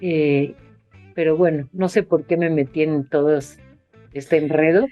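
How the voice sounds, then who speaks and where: A woman writer speaks with a fairly low voice, pausing often and circling back over her own words. She is recorded dry over a video call. Soft guitar music plays faintly underneath. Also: steady tone, no room sound, lo-fi sound. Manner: hesitant, many fillers and restarts. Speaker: writer being interviewed. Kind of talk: casual conversation.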